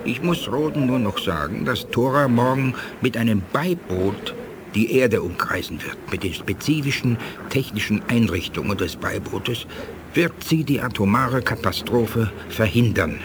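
An actor in a 1980s German radio play playing a dignified, ailing male alien who speaks in a measured, formal and assured way. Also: medium pitch, expressive, background noise, natural voice. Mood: calm, courteous.

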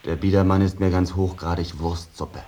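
A man's voice: deep voice, fairly even intonation, normal speed, mellow. An actor playing a sullen, cynical young man in a German radio drama, mocking, dismissive and insolent. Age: young adult. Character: sullen, cynical, defiant young bohemian son.

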